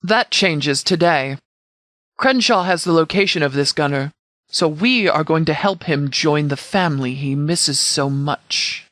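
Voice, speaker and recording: Game sheriff played by a male actor: disciplined, protective leader, slightly high male voice, measured military briefings, very dry recording. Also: fairly bright tone, dry recording. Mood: grave, resolute. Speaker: sheriff and commander. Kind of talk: scripted video game dialogue.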